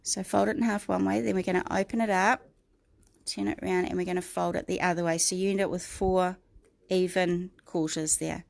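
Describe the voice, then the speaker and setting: Croaky New Zealand woman teaching crafts. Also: fairly low pitch, level tone, fairly quick, darkish tone. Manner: chatty step-by-step instructions, greets viewers by name. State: croaky, clearing her throat. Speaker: craft tutorial host. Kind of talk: livestream crafting tutorial.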